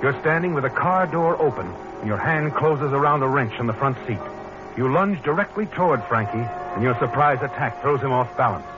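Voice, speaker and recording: Ominous vintage radio suspense narrator played by a man, knowing and dramatic, very animated delivery, dull old broadcast sound. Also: medium pitch, fairly quick, natural voice. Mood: tense, grave. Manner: knowing, dramatic, addresses the character directly. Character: ominous male narrator needling the guilty protagonist. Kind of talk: 1940s radio thriller narration in second person. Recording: muffled old radio recording with music.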